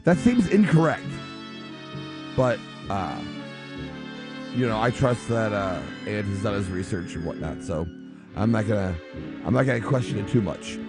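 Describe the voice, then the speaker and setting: A man hosting a radio show with casual, off-the-cuff chat, rambling, halting and repeating himself. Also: lowish voice, sing-song, music in the background.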